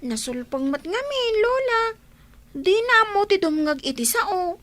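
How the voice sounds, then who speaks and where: A woman's voice: very high voice, big pitch swings, a little slow, fairly bright tone, dry recording, exaggerated voice. A woman with an Ilocano accent voicing a small, earnest, tattling village child in a radio comedy drama.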